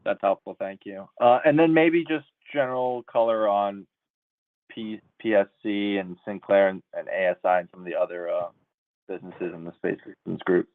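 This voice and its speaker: A man's voice: lowish voice, moderate pace, dry recording. A male financial analyst speaking conversationally as he asks questions on a conference call. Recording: muffled narrow phone line.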